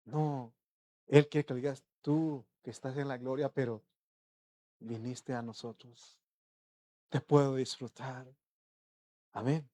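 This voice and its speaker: Conversational preacher, rhetorical questions. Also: male voice, mid-range voice, fairly even intonation, takes it slowly, pauses often, warm tone, dry recording. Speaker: pastor. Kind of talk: informal sermon.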